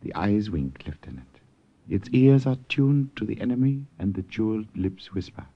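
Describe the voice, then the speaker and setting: A dull, lo-fi old radio recording carries a courtly Siamese regent. His voice is very mellow and husky, and he speaks in formal, measured, enigmatic phrases. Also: on the low side, sing-song. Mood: solemn, resolute. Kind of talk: 1940s-50s radio drama acting.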